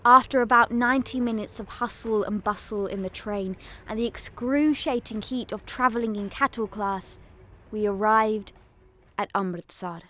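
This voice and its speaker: A woman playing an eager young Punjabi village girl, telling her story in expressive first-person dramatic narration. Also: mid-range voice, moderate pace, close to an everyday voice. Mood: lively.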